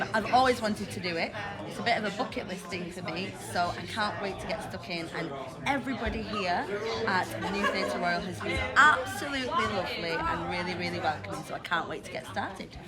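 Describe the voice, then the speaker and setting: Gushing woman in a casual interview, medium pitch, big busy room with people talking loudly. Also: lively intonation, a little fast. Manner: enthusiastic, gushing. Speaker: interviewee, pantomime performer. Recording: loud crowd in large indoor room. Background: loud crowd chatter.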